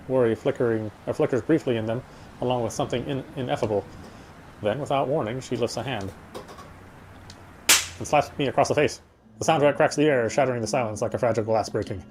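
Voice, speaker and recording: Man, middle-pitched voice, dramatic visual novel reading, slight word stumbles, prominent background music. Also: poor audio. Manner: stumbles over words while reading. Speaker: let's player reading a game aloud. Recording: music bed behind the voice. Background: loud game music.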